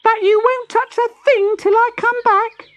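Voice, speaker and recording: Woman doing a squeaky little baby tortoise boy. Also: fairly even intonation, measured pace. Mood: pleading, suspicious. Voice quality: squeaky, thin child-like pitch. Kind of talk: children's storybook character.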